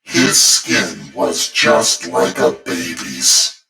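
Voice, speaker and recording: A man voicing a sweetly sinister little old landlady in a spooky bedtime story, with gentle, genteel, drawn-out phrasing. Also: sits low, big pitch swings, creaky, pitched above the performer's own voice, exaggerated voice. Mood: wistful, admiring. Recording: echoey, distant voice over music.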